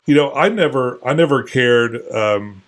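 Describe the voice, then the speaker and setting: A man in his forties, a guest on an interview show, answering in a relaxed, hesitant way with many fillers. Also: medium pitch, measured pace, poor audio. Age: 40s. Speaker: guest, longtime video maker. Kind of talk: casual interview chat.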